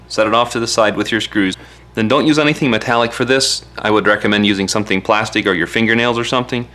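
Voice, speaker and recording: A man presents a hands-on repair tutorial in a somewhat low voice. He talks quickly but guides each step carefully, in casual, plain-spoken language with a folksy touch. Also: pushed and bright.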